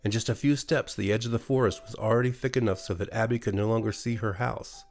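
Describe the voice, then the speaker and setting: A man's voice: fairly low pitch, fairly even intonation, fast, gravelly, faint music behind. A man with an American accent narrating a scripted bedtime horror story as a storyteller. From USA.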